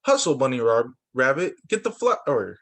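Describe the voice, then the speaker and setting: A man's voice: mid-range voice, sing-song, normal speed, fairly bright tone. A man voicing a scruffy, nasty-tempered varmint creature in a children's storybook, snarling, sarcastic and barking orders. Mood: bossy.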